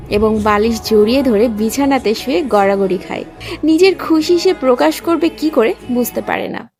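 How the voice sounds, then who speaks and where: A woman's voice: fairly high pitch, gravelly, a little mellow. A woman narrating a scripted romantic story as a storyteller, with music behind. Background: background music.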